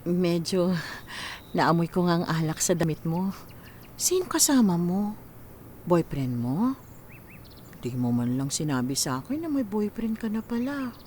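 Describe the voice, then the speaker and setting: A woman's voice: fairly low pitch, expressive, a little slow, long pauses, darkish tone, slight background noise. A woman playing a loving, weary middle-aged mother in a Filipino radio drama, with a tender, coaxing, sighing manner. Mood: gently reproachful.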